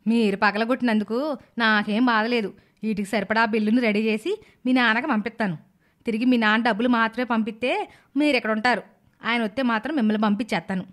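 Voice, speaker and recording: A stern middle-aged aunt , played by a woman, in a cartoon moral story. She scolds with measured, mock-sweet sarcasm and hands out threats like a boss of the house. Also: a little high, steady tone, lightly acted. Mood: stern, sarcastic.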